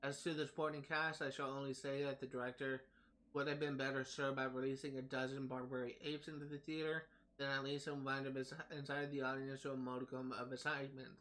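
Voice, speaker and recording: A man reading in-game text aloud on a gaming livestream, haltingly, often stumbling over words and names. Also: medium pitch, little pitch movement, a bit bright. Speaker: livestream host.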